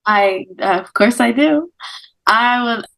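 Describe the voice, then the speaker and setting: A pageant contestant from the United States Virgin Islands, answering a radio interviewer in a polished, composed way. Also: female voice, a little high, slow pace, vocal fry, a bit bright, rough recording.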